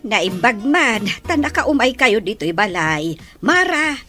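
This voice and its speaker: An elderly Ilocano grandmother in an audio drama, played by a woman, caring but quick to scold. Her voice is fairly high and very bright, and she takes her words slowly. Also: very animated, faint music behind, heavily acted. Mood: caring, explaining.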